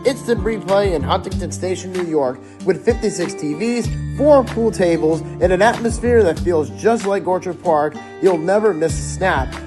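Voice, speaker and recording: A smooth, upbeat sponsor ad read. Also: medium pitch, normal speed, few pauses. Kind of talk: read ad spot. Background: music.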